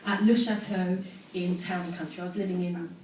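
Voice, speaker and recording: A woman's voice: fairly low pitch, steady tone, fairly quick, darkish tone. Echoey woman reading aloud on stage. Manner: dramatic re-enacting with amused asides. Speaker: storyteller on stage. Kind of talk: live comic reading of own teenage diary to an audience. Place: live storytelling event venue with audience. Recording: very echoey, noisy live venue, distant mic.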